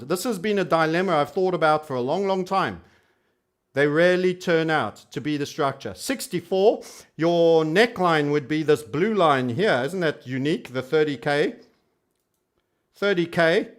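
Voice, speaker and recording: High-pitched man doing emphatic market commentary. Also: expressive, normal speed. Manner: emphatic, repeats phrases for stress; cheeky, colourful storyteller. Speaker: YouTube trading host.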